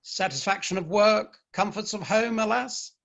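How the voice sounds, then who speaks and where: A man's voice: sits high, moderate pace, vocal fry, fairly bright tone. A man in an online play reading, playing a modest, wry middle-aged Victorian novelist at his club. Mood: genial.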